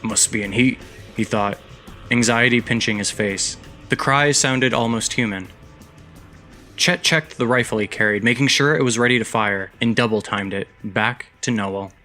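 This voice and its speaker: A man hosting his own podcast, narrating a story and giving announcements in a steady, measured way. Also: mid-range voice. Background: background music.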